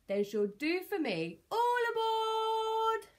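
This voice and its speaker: A woman's voice: high voice, sing-song, a little slow, soft background music, voice pushed up. A woman voicing a theatrical, blustering male pirate captain in a children's storybook. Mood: hearty. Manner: sobbing, blustering, theatrical exclamations.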